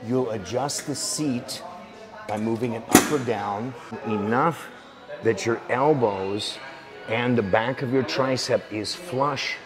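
A man in his forties giving calm, steady step-by-step workout instructions as a voice-over. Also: lowish voice. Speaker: fitness instructor. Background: music.

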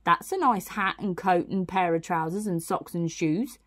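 A woman voicing a cheerful, kindly male burglar in a children's storybook, speaking with drawn-out, emphatic, ungrammatical working-class phrasing. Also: lowish voice, dry recording, studio quality, lightly acted. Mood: pleased.

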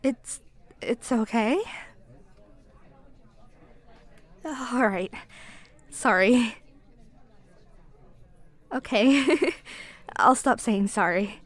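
A woman's voice: on the high side, very slow, pauses often. A woman playing a clumsy, flustered young barista who stammers and apologizes in an audio roleplay. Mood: flustered apology. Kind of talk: ASMR roleplay acting.

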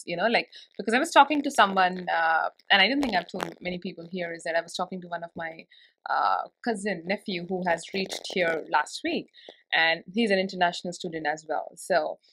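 A woman's voice: fairly low pitch, fairly quick, fairly bright tone, poor audio. A woman hosting a podcast, chatty and laughing easily, asking long, winding questions. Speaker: podcast host. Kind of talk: casual interview chat.

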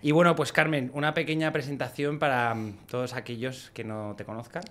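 A man's voice: medium pitch, steady tone, brisk, fairly bright tone. A young man hosting a podcast, opening the episode in a casual, hesitant way full of fillers. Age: young adult. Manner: hesitant, many fillers, friendly. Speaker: podcast host. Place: podcast studio, indoors. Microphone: studio mic on a boom arm, with headphones.

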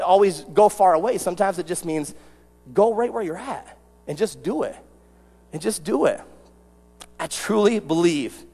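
A man's voice: sits high, a little mellow. A man in his late thirties with an American accent, preaching an informal sermon, repeating phrases for emphasis and restarting sentences. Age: late 30s. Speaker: youth pastor preaching. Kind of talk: casual sermon to a congregation. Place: large church sanctuary stage, indoors. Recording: reverberant church hall sound.

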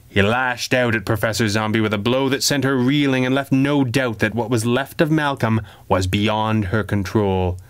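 A man reading a pulp adventure story as a dramatic, theatrical and expressive narrator. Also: on the low side, clean tone. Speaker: audiobook narrator.

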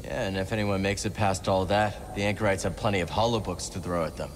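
An earnest young Jedi hero, acted by a man for a video game. His voice sits on the low side, understated and wry. It echoes in a big space over steady background noise. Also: fast, lightly acted. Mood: calm, warm. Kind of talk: cinematic video game acting.

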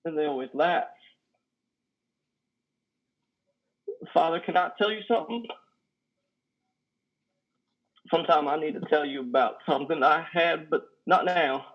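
A man acts out a lonely, simple-hearted trucker in a stage drama, his voice on the high side for a man. He speaks in halting, folksy, wounded phrases, heard through dull video-call audio. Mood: nervous, confiding. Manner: halting, folksy, ungrammatical drawl of speech. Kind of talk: dramatic play reading. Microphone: headset on a video call.

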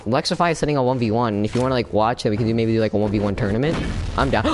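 A young man chatting casually while he streams a fighting game, excitable, with sudden yelps and laughs. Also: medium pitch, rapid-fire. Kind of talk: casual gameplay commentary. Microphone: no camera, desk mic.

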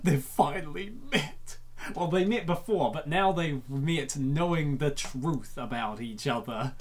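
A host reacting to and reading a comic aloud in an excitable, dramatic way, often repeating phrases. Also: medium pitch, unhurried. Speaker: host of comic dub show.

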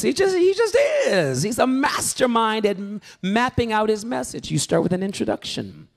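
High, gravelly man teaching preachers. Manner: animated, joking, call-and-response with the room. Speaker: preaching instructor. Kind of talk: teaching a sermon-preparation workshop. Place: church hall, in front of a live group.

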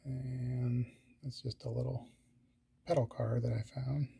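A man casually narrating a tour of his collection, listing items in a slow, low-key way with pauses and fillers. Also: little pitch movement, vocal fry, dark tone, a little hiss or hum.

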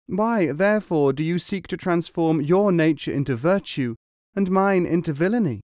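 A man voicing an unrepentant scorpion in a spoken fable, arguing calmly and matter-of-factly. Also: on the high side, moderate pace, no echo, clean studio sound, pitched above the performer's own voice, lightly acted. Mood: reasoning. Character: cool, reasoning scorpion, unrepentant.

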